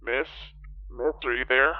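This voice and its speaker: A man's voice: mid-range voice, fairly even intonation, a little slow. A man playing an anxious, courteous caller in a horror audio drama, hesitant and repeating himself, through a telephone filter. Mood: flustered. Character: worried, courteous male caller, hesitant but trying to help. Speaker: phone caller. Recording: thin, tinny phone line.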